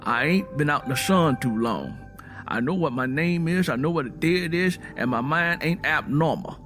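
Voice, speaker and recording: A man's voice: on the high side, lively intonation, moderate pace, fairly bright tone, faint music behind. A man voicing a stern, embittered American Southern man with a blunt, defiant rural way of talking, in a narrated story. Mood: bitter, defiant.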